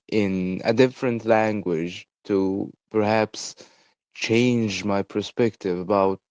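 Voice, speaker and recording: A man's voice: low voice, a little mellow, no echo. A young adult man from Egypt, whose first language is Arabic, hosts a casual solo podcast and talks with many hesitant fillers. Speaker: podcast host.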